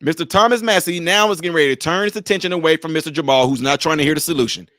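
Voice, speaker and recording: A man with a high voice gives live-stream commentary on a clip he keeps pausing. He talks with emphatic, rhetorical energy. The sound is dry and close, with little room tone. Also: no room sound. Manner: animated, emphatic, rhetorical questions. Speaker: commentator reacting to a video clip.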